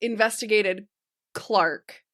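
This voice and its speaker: A woman playing a tabletop game, casually thinking aloud with halting, repeated words. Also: mid-range voice, fairly bright tone.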